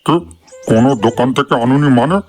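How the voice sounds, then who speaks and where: A man's voice: medium pitch, fast, soft background music, natural voice. A man voicing a suspicious, exasperated, foul-mouthed village husband in a comic cartoon. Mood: incredulous. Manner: incredulous, stammering.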